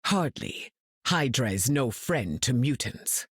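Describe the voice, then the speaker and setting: An actress playing a regal, composed mentor heroine with stately, formal speech in a video game. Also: female voice, a bass voice, very animated, takes it slowly, breathy edge, dry recording, clean studio sound, heavily acted. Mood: composed, serious. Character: regal, composed weather-wielding mutant mentor woman. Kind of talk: video game character acting.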